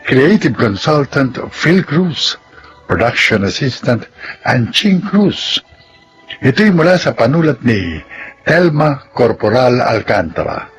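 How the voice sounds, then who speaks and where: Male radio drama announcer, formal, lively pitch, over music. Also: slow, low-quality recording. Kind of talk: scripted narration. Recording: narration over loud music.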